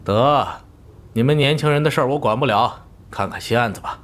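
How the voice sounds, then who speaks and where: A man voicing a genial, wily middle-aged police bureau chief with a folksy, jovial manner in an animated crime drama. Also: medium pitch, fairly quick, lightly acted. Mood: avuncular. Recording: faint background ambience.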